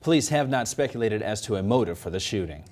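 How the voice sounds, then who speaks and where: A man's voice: on the low side, animated, fast, a little mellow. A man anchoring a morning newscast from a script.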